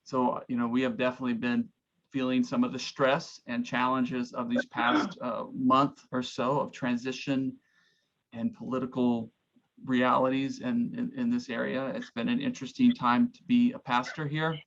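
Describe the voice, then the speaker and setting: A man from Oklahoma, a pastor, giving earnest, reflective and somewhat halting opening remarks over a video call. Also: medium pitch, flat delivery. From Oklahoma, United States. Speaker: pastor, guest speaker. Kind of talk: informal opening remarks of a talk. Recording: dull, lo-fi video call audio.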